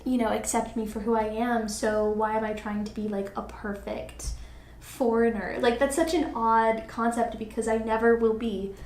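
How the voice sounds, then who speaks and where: High-voiced woman podcaster in an echoey room. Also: steady tone, normal speed, a little mellow. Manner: earnest, thinking aloud, frequent "like" and "you know". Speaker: podcast host. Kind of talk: casual reflective conversation. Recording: echoey room, faint background noise.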